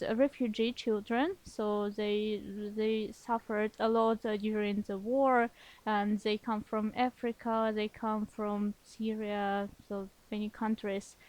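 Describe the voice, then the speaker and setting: Higher-voiced woman guest, hesitant speech. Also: darkish tone, rough recording. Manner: hesitant, many pauses and restarts, laughs lightly. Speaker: podcast guest. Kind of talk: casual interview talk.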